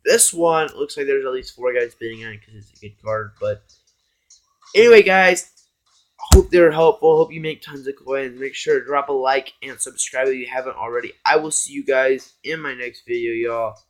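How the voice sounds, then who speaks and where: A hoarse man giving casual, rambling gameplay commentary as a YouTuber, often addressing his viewers as guys. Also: medium pitch, moderate pace. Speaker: gaming YouTuber.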